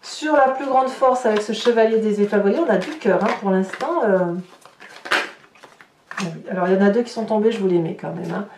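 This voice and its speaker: A woman's voice: medium pitch, fairly quick. Woman in an echoey room, distant mic. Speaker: tarot reader presenting horoscope readings. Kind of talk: informal spoken tarot reading addressed to viewers. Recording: roomy echo, distant mic, faint noise.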